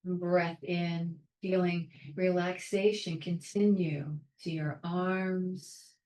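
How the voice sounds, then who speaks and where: A woman leading a guided meditation in a calm, soothing, measured way, leaving long pauses between short phrases. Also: on the low side, echoey room, low-quality recording. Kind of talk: guided meditation, soft instruction.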